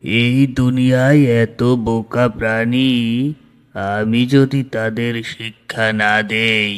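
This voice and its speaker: A man voicing a self-important dog who lectures gravely in a measured way, as in a cartoon moral story. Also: medium pitch, fairly even intonation, faint music behind, natural voice. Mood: preachy. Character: self-important dog who thinks himself a wise teacher.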